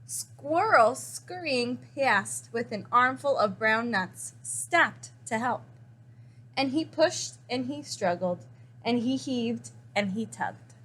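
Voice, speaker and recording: High-voiced woman reading a children's story. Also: expressive, takes it slowly, a bit bright, husky. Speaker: story reader. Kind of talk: expressive children's picture book read-aloud.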